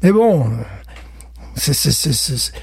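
A man, a teacher and guest on a podcast, chatting casually, animated, with many restarts and acted-out little scenes. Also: a little high, takes it slowly, gravelly, slightly rough. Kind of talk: casual chat.

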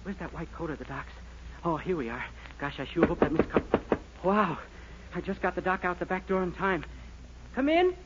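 A boyish, innocent-sounding young schemer, pitched very high for a male. He has the style of 1940s radio comedy, on a dull recording with faint noise. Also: animated, moderate pace. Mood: hurried. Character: naive, boyish young man, mischievous schemer. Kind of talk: 1940s radio sitcom acting.

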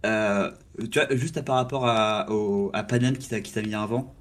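A man's voice: fairly low pitch, steady tone, normal speed. A man co-hosting a gaming podcast in casual chat, often restarting his sentences and steering the discussion along. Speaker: podcast co-host.

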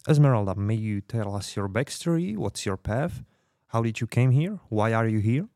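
Podcast host, played by a man: lowish voice, close dry sound, polite and reassuring. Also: expressive, normal speed, warm tone, no echo. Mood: welcoming, reassuring. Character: welcoming male radio host of a misfit refuge in 2050. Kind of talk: fiction podcast interview, semi-improvised.

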